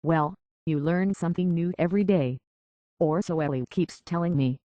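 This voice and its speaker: A man's voice: on the high side, normal speed, dry recording, natural voice. A man playing a world-weary, decent android detective in a video game, speaking in a wry, plainspoken, reflective way on slightly dull audio. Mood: wry, easygoing. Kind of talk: video game character dialogue.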